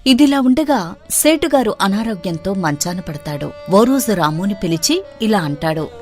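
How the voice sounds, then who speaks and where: A woman's voice: mid-range voice, expressive, moderate pace, a little mellow, soft background music. A woman narrating a scripted moral story as its storyteller.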